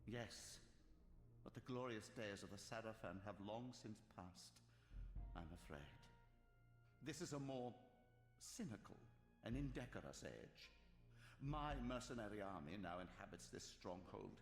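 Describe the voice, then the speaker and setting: An actor playing a sly, silver-tongued aged sorcerer in a video game, speaking in grandiose, unctuous, deliberate phrases with a creaky voice. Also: male voice, on the low side, expressive. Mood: feigned warmth. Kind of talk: theatrical video game voice acting. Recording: echoey hall reverb, music behind.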